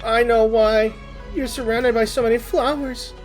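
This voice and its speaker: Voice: mid-range voice, normal speed. A gaming streamer giving casual, reactive commentary over a game and reading dialogue along. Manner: animated, reactive. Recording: game music faintly behind.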